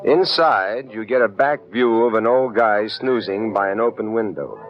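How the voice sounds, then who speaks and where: A man's voice: lowish voice, lively intonation, moderate pace, music in the background, close to an everyday voice. A man playing a wry, businesslike hard-boiled detective in an old-time radio drama, at times narrating his own case. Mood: wry, intent. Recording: dull vintage radio recording.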